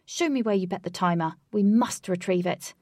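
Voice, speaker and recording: A woman's voice: medium pitch, normal speed, warm tone, dry recording, clean studio sound, natural voice. A woman voicing a stern, commanding man giving urgent orders in a storybook.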